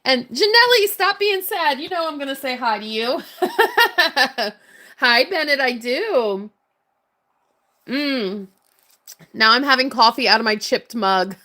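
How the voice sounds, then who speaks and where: A woman with a hoarse, worn voice, chatting casually and greeting viewers while crafting on a livestream. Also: high-pitched, sharp tone. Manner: chatty, upbeat, laughs often. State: hoarse, voice strained from hours of talking. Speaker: livestream crafter and shop owner.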